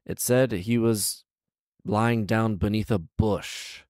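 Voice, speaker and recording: A man's voice: on the low side, slow pace, a little mellow, no room sound. A man in his thirties reading a fairy tale aloud and breaking off for casual, incredulous, bantering commentary, speaking close into a handheld microphone. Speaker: storyteller and host. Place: small living room, indoors. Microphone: handheld microphone held close.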